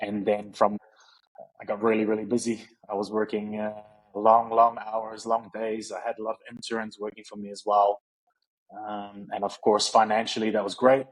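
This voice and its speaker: A husky man in his thirties from New Zealand tells his career story in a relaxed interview. His voice sits fairly low and he rambles a little, doubling back with fillers and restarts. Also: rough recording. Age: 30s. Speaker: physio and strength coach.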